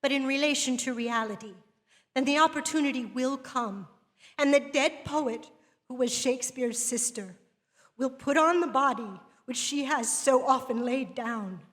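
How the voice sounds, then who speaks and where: An earnest woman of letters giving a dramatic lecture. Her high voice moves through measured, rhetorical sentences. It rings with the long reverb of a hall. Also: a bit bright. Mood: impassioned, resolute. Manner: measured, literary, rhetorical delivery. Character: earnest, eloquent woman writer lecturing to women. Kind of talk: dramatic reading of a literary lecture.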